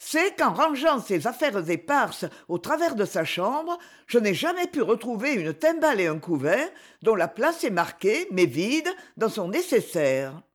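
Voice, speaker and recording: A woman's voice: a little high, big pitch swings, unhurried, few pauses. A woman reading aloud and voicing a motherly middle-aged woman who explains her worries. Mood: concerned.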